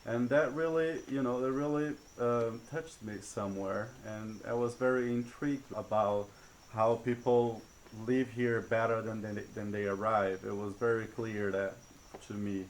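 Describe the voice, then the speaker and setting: A man's voice: fairly low pitch, fairly even intonation, a little slow, slight background noise, rough recording. A man from Brazil, a retreat chef giving a testimonial in a hesitant way with many fillers and restarts. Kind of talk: testimonial interview.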